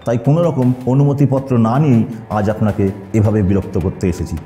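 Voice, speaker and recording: A man voicing a frightened, apologetic gentleman who humbly begs forgiveness in a horror audio story. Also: mid-range voice, a little fast, reverberant, soft background music, close to an everyday voice. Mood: remorseful, pleading. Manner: pleading, humble.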